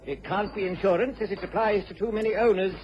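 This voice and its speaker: An actor plays a civic-minded middle-aged gentleman addressing a meeting in radio drama. His voice is pitched high. The sound is muffled, with a loud crowd around him. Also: steady tone, fast talker, natural voice. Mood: formal, concerned. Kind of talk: radio drama public speech.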